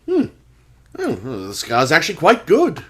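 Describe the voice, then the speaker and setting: Loyal, earnest sidekick with swooping, expressive speech man acting. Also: fairly high pitch, normal speed, slight background noise. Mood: polite, puzzled. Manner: polite, formal, expressive. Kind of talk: audio drama acting and narration.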